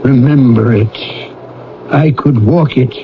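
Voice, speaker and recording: A man's voice: medium pitch, slow, noisy background. An actor playing a frightened, defensive old miser in a radio drama, theatrical and wavering as he tells his tale. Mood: wistful, choked. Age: older. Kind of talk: dramatic radio acting and storytelling. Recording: muffled old radio recording with music.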